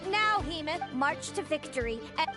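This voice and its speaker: A woman playing a spirited, competitive young woman in animated video game dialogue. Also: high-pitched, normal speed, music in the background. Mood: rallying, excited.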